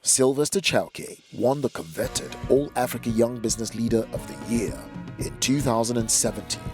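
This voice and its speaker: A man reading a scripted introduction as the host of an interview show. Music plays clearly underneath his measured read, at an average pitch. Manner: upbeat, frequent short affirmations.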